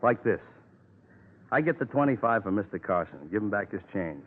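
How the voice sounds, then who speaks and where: Impatient small-shop grocer, 1950s radio drama; exacting, protesting, repeats himself; thin, lo-fi old broadcast sound. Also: male voice, lowish voice, big pitch swings, normal speed. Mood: insistent, suspicious. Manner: clipped, repetitive, protesting. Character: impatient neighborhood grocer, plain-spoken and fussily exact. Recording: muffled, noisy old radio recording.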